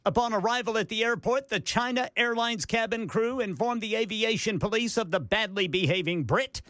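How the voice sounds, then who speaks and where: A man co-hosting a talk radio show, reading odd news stories aloud in an animated way. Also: sits high, normal speed, dry recording, clean studio sound. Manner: animated, puts on voices for quotes. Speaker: co-host.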